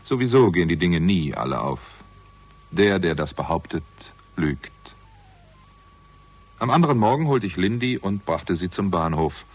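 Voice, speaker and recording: Low-voiced, sardonic, world-weary agent. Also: male voice, big pitch swings, normal speed. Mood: weary, reflective. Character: weary, bitter male theatrical agent narrating his own story. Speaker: narrator and lead actor. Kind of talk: 1960s German crime radio drama acting.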